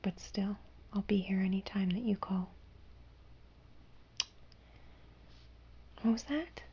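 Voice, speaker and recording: Woman doing a sweet breathy whispery fairy girl, hushing and comforting, kinda dull lo-fi sound. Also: medium pitch, moderate pace. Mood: gentle, playful. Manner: soft soothing whisper, reassuring and hushing. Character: gentle comforting female fairy in human form. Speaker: ASMR roleplayer. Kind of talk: whispered ASMR roleplay.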